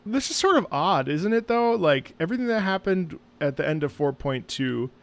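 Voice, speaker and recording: A man's voice: fairly high pitch, big pitch swings, moderate pace, a bit bright. A man giving casual gameplay commentary as a streamer, with a wry, sarcastic way of talking. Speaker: streamer reacting to a game, former opera singer.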